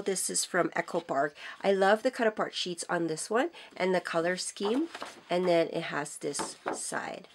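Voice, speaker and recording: A hoarse middle-aged woman with a cold, chatting casually and enthusiastically as she shows off craft supplies, with frequent ums and self-corrections. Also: on the low side, a little hiss or hum. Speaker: craft vlogger. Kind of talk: casual show-and-tell haul. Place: indoors at a craft table.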